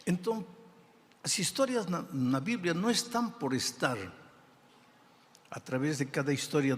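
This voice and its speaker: An older man with a non-native accent in Portuguese, preaching a sermon in slow, deliberate phrases with emphatic pauses. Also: mid-range voice. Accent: non-native speaker of Portuguese. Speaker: pastor. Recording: reverberant hall sound.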